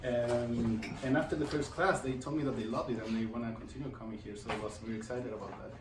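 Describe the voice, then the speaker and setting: A man's voice: mid-range voice, fairly even intonation, fast. A man, a parent, giving an informal testimonial speech. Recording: echoey room, distant mic, clear noise.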